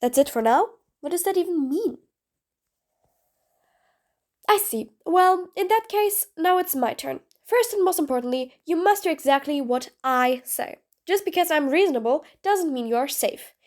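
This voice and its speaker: A cool-headed female supervillain, as a woman plays her, blunt and sardonic, giving orders in clipped lines. Her voice sits high and swings widely in pitch. An audio roleplay with a commanding edge. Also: darkish tone. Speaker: voice actor. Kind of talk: audio roleplay, one side of a dialogue.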